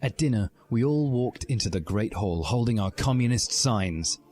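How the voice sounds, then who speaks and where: A man's voice: fairly low pitch, animated, moderate pace, darkish tone. A man giving a mock-serious, emphatic reading of a comic fan fiction story. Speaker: podcast reader. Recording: music behind the voice. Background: music.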